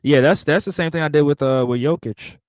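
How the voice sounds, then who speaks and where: A man co-hosting a casual sports chat, speaking in a measured, hedging way. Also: a little high, dry recording, clean studio sound.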